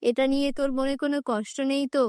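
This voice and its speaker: Coaxing, high-voiced village mother woman performer. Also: steady tone, fast talker, a little mellow, no echo, studio quality, natural voice. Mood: coaxing, apologetic. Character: worried, poor village mother, gently practical. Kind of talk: animated fairy-tale cartoon dubbing.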